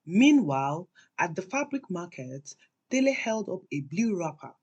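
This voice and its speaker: A woman narrating a scripted folktale as a storyteller, in a deliberate, dramatic way with pauses. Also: lowish voice, big pitch swings, darkish tone, breathy edge, muffled recording.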